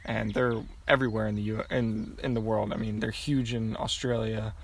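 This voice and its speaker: A man's voice: fairly low pitch, flat delivery, normal speed, gravelly, slight background noise. A young man from Pennsylvania, answering interview questions in a loose, rambling way with many ums and restarts. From Pennsylvania, USA. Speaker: guest.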